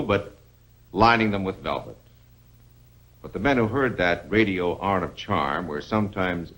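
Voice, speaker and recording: A man narrating a 1950s military propaganda film with dramatic, deliberate phrasing. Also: fairly low pitch, a bit bright, poor audio.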